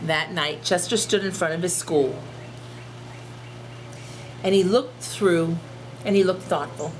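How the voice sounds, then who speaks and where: A woman's voice: lowish voice, slow, slight background noise, rough recording. A woman teacher reading a storybook aloud to young children, expressively and with simple explanations.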